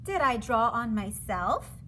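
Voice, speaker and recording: Woman voicing an eager, high-pitched wheedling little girl. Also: very animated, slow, fairly bright tone, slight background noise, heavily acted. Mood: pleading, excited. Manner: pleading, insistent, emphatic.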